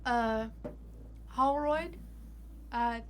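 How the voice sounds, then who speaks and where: A woman's voice: on the high side, drawn-out words, a little hiss or hum. A woman playing a tabletop roleplaying game, bantering casually in a bossy, joking manner.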